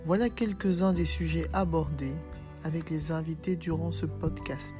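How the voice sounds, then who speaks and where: A woman with a slightly low voice hosts an interview podcast. She speaks in the manner of a presenter putting questions to a guest, with music faintly behind her. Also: fairly even intonation, normal speed. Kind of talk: scripted intro and casual interview.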